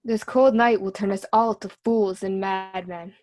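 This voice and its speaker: A woman playing a king's skittish, teasing jester, excitable and jumpy, in an amateur Shakespeare reading. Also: mid-range voice, a little slow, close to an everyday voice. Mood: wry, anxious.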